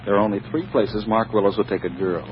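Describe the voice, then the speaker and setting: An actor playing a brash, impatient, needling boyfriend with a breezy, sardonic, slangy manner in 1940s radio comedy style. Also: male voice, on the low side, fairly even intonation, quick pace, a little hiss or hum, lightly acted. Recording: muffled vintage radio recording.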